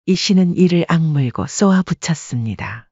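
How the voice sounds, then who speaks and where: A woman's voice: sits low, animated, normal speed, mellow, husky, no echo, muffled recording. A woman working as narrator, reading a scripted dramatic story.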